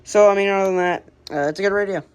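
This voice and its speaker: An American woman casually showing a hobby collection, speaking in a halting, unscripted, plain way on an amateur home recording. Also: medium pitch, clear, resonant tone. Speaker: radio collector presenting the collection. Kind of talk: casual hobby show-and-tell narration.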